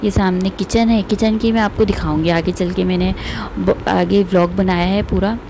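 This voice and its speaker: A woman's voice: medium pitch, steady tone, moderate pace, vocal fry, background noise. A woman, casually narrating her family outing for a vlog and recommending the place. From Pakistan. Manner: chatty, recommending, pious phrases. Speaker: vlogger.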